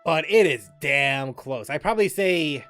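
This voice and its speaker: A man's voice: a little high, animated, a little slow, fairly bright tone, soft background music. A man casually commenting while he plays a video game, musing and rambling as he thinks aloud. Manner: musing, rambling, with restarts and laughs. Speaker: gaming streamer.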